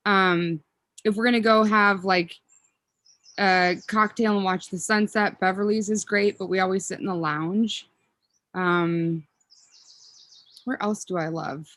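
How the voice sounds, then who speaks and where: A woman's voice: medium pitch, a bit bright, no echo. A woman in her thirties from Minnesota, chatting casually as a podcast guest, rambling with ums and self-corrections. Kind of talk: casual interview chat.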